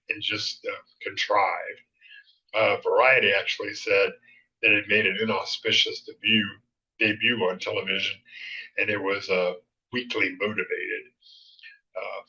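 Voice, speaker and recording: A man hosting a show with casual, somewhat halting commentary, in slightly muffled sound. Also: lowish voice, bright, forceful tone. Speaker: show host. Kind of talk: casual commentary on vintage television.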